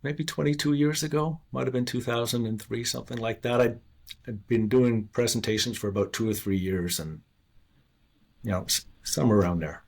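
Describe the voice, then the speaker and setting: A man's voice: mid-range voice, fairly quick, creaky. A man in his sixties from Canada, a former investor being interviewed, telling his story in a candid, earnest way. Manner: candid, earnest, restarts and self-deprecating laughs. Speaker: guest, former investor and author.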